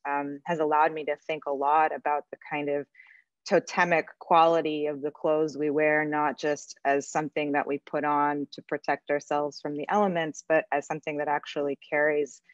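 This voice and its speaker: A woman's voice: low voice, dry recording. A woman born in Russia and raised in the U.S., giving a thoughtful, chatty online lecture as a guest artist over a video call, with frequent ums. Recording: dull lo-fi video call audio.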